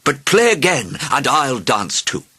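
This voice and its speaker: Man acting an eager, excitable high-voiced king. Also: measured pace, breathy edge, no echo, heavily acted. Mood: amazed. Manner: exclaiming, enthusiastic. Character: eager, rebellious, delighted short king.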